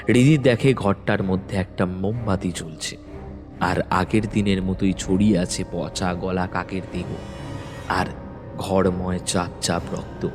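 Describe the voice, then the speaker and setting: A man reading a village horror story in measured, suspenseful narration. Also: low voice.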